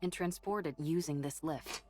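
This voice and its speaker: A capable young female soldier who knows her machines and frets over her squad. Her voice sits fairly low for a woman, in anime game style. Game music runs underneath. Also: measured pace, a little mellow. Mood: focused, confident.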